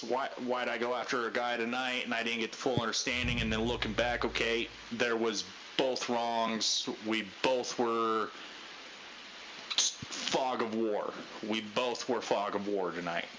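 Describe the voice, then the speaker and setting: A man leading a group debrief in a casual pep-talk style, talking loudly with a lot of inflection. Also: slight background noise, poor audio. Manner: loud, high voice inflection. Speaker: unit leader giving a debrief.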